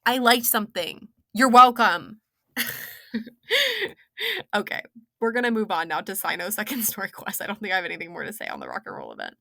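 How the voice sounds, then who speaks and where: A young woman rambling casually through an opinionated game story review, slangy and sarcastic, with animated, exaggerated emphasis. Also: mid-range voice, brisk, creaky, pauses often.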